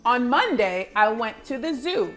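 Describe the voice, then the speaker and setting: A woman teacher giving a read-aloud lesson for young children, with clear instructional delivery and expressive storybook narration. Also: a little high, unhurried, faint music behind.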